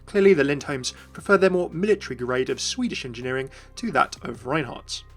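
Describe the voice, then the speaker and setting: A man with a mid-pitched voice presents a scripted game commentary. He explains each point and speaks straight to his viewers, with faint music underneath. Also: expressive, brisk. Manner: explanatory, addresses viewers directly. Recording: voice-over with faint music behind.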